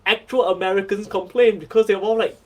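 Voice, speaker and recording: A man with a Singaporean English accent hosting a casual, comedic podcast chat, animated, swearing freely and laughing often. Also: sits high, fast talker, highs cut off, a little hiss or hum. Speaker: podcast host.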